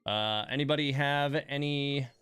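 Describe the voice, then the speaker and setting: A man livestreaming a game, musing aloud in a wry, halting, casual way. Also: medium pitch, fairly even intonation, a bit bright, clean tone, no echo, soft background music. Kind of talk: casual gaming stream commentary.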